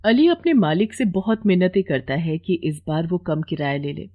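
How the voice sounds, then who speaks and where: A woman's voice: fairly low pitch, normal speed, dull audio. A woman narrating a scripted moral story as storyteller.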